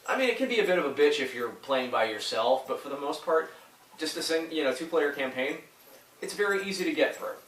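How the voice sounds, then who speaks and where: A man's voice: a little high, animated, fairly quick, a bit bright, recorded from a distance. A man with a head cold and a stuffy voice, talking casually and rambling, with lots of fillers and restarts. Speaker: YouTuber reviewing retro games. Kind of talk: casual talk to camera. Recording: echoey room, a little off mic.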